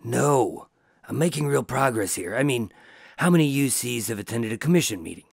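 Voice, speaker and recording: An actor playing a determined undercover agent in a radio drama, measured and guarded as he talks and narrates. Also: medium pitch, animated, vocal fry, slightly rough, no echo, clean studio sound. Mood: insistent. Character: determined undercover male agent narrating his mob investigation. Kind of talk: radio drama acting and first-person narration.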